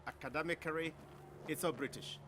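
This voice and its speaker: A man's voice: a little high, steady tone, brisk, bright, forceful tone, slight background noise. A man hosting an interview program, speaking emphatically and echoing the answers he is given. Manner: emphatic, repeats the guest's answers. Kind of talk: on-air interview.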